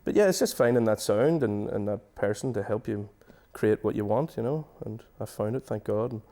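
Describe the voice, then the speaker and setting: A man with a Donegal Irish accent, a singer-songwriter guest chatting in a studio, hesitant and restarting often, his voice trailing off quietly. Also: on the low side, normal speed. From Donegal, Ireland. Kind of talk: casual interview chat. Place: radio studio.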